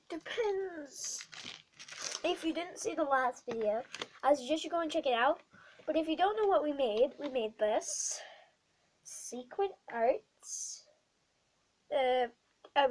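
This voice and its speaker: Croaky young girl with a cold. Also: sits high, a little mellow, slight background noise, poor audio. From not American. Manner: chatty, rambling with many ums and uhs. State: has a bad cold, croaky. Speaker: craft vlogger. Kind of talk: casual craft tutorial vlog. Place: small dim bedroom indoors.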